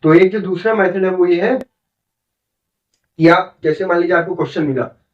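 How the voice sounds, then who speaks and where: A male maths teacher with an Indian accent speaks in a slightly high voice, with a sore throat. He explains informally, one step at a time, repeating points and checking understanding. The sound is roomy and echoing, picked up some way from the microphone. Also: moderate pace. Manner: repetitive, step-by-step explaining with frequent check-ins. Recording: echoey room, distant muffled mic.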